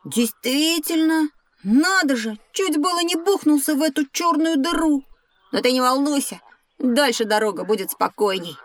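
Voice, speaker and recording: A woman's voice: high-pitched, animated, moderate pace, far from the mic. A woman playing a reckless, carefree schoolboy in an educational children's audio drama, breezy, boastful and dismissive.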